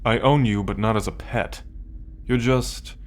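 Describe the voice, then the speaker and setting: Slow, low, arrogant alien conqueror played by a man. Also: a little hiss or hum, close to an everyday voice. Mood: imperious. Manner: commanding, condescending, slow deliberate delivery. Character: arrogant possessive male alien military conqueror. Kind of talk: ASMR roleplay, scripted monologue to listener.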